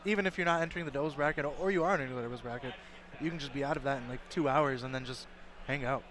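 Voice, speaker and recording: Fast-talking slightly hoarse male commentator. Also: mid-range voice, a bit bright, a little hiss or hum. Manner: chatty and upbeat, quick rambling asides. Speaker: esports commentator. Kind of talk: casual commentary chat between matches.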